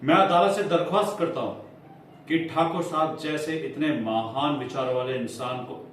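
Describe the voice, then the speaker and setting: Casting: male actor as smooth courtroom defence advocate, fairly high-pitched, formal and insinuating stage delivery, heard distantly in a heavily echoing room. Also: close to an everyday voice. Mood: measured, deferential. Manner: formal, emphatic, with deliberate dramatic pauses. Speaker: acting student performing a monologue. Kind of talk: theatrical courtroom monologue in Hindi with English phrases. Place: indoor acting class room. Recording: echoey room, distant mic, faint noise.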